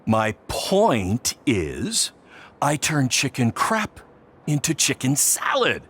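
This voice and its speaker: A man's voice: a little high, fairly bright tone, slightly rough, full character voice. An actor playing a brash, boastful middle-aged wrestling promoter in a video game, with a hammy salesman manner. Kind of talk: video game cutscene acting.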